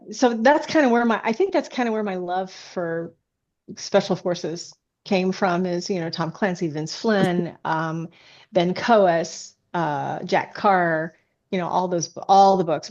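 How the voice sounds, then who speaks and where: A woman's voice: medium pitch, darkish tone. Woman chatting in slightly dull, lo-fi audio. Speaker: guest author. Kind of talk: casual interview chat.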